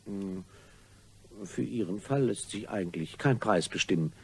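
A husky-voiced, nervous male blackmailer played by a man in a radio crime play. His pitch swings widely as he hesitates, stammers and exclaims in a theatrical way. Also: animated, a little slow, a little mellow, heavily acted. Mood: insistent. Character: flustered male blackmailer, himself being squeezed, nervous and apologetic.

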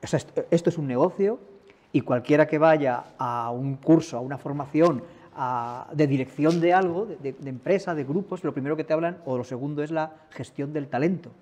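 A middle-aged man with a somewhat high voice interviews a guest on a conference stage. He thinks aloud, circling through a thought in loose, searching sentences. The sound carries the space of a large hall. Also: fairly quick, a little mellow.

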